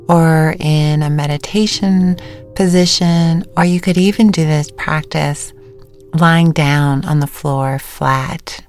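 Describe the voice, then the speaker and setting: A woman meditation teacher giving gentle, unhurried guided instructions with pauses between phrases. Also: on the low side. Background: music.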